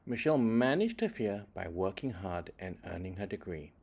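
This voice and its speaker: Low-voiced man reading a children's story. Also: lively intonation, vocal fry, darkish tone, husky. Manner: dramatic emphasis, deliberate phrasing, varied delivery. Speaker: children's book reader. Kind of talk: expressive read-aloud storytelling for children.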